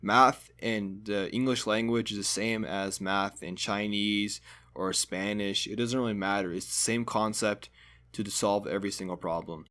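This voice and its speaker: A young man whose first language is Hungarian, narrating a school video project in a relaxed, conversational way with fillers. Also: on the low side, a little slow.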